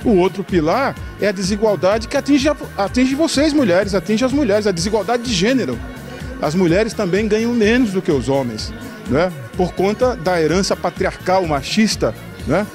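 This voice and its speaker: A middle-aged man with a high voice for a man speaks emphatically, listing point after point and often tagging on "né?". He talks into a handheld mic in a large hall, with faint music behind him. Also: expressive, normal speed. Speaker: interviewee. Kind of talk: interview, political commentary. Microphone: handheld reporter mic.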